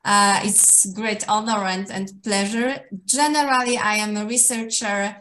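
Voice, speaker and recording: A woman who is an assistant professor, introducing herself briskly on an online panel with frequent "uh" fillers. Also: mid-range voice, steady tone, a bit bright. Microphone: video call microphone.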